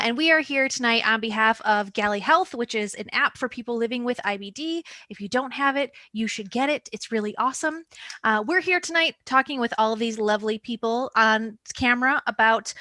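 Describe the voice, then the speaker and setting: A woman hosts a roundtable over a video call, talking in an upbeat, chatty way. Her voice is bright and a touch high, recorded dry and close. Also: no room sound. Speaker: moderator. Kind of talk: online roundtable hosting.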